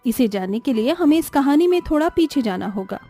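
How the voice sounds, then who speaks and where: High, mellow female story narrator. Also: expressive, a little fast, faint music behind.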